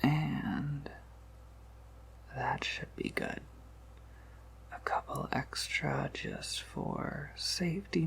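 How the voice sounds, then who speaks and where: Guy doing a soft whispery vampire shopkeeper for asmr, super crackly voice, polite n a bit scattered, takes his time. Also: exaggerated voice. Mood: gentle, attentive. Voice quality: breathy whisper breaking into creaky low murmur. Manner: gentle, considerate, hesitant with small asides. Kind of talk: whispered ASMR roleplay addressed to the listener.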